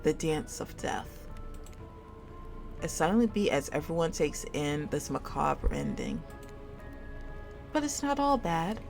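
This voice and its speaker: Low-pitched woman reading a story over music. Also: sing-song, takes it slowly, creaky, long pauses, a little mellow. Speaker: video game streamer reading dialogue aloud. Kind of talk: storytelling read-aloud of a dating sim game. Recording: music behind the voice.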